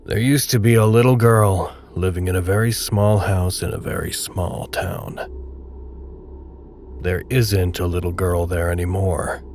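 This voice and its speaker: A man narrating a dark audio drama in a measured, literary, grave and detached manner. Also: deep voice, fairly even intonation, slight background noise. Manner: measured, literary, solemn storytelling.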